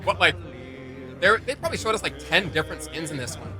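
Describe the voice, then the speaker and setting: A man's voice: on the high side, very animated, fast talker, music in the background. A man hosting a reaction video, giving casual, opinionated commentary in an emphatic, slangy way.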